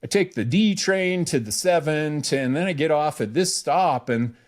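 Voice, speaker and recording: A man's voice: fairly high pitch, animated, moderate pace. A man hosting a podcast as a business coach, talking in a casual, instructive way and repeating key phrases for emphasis.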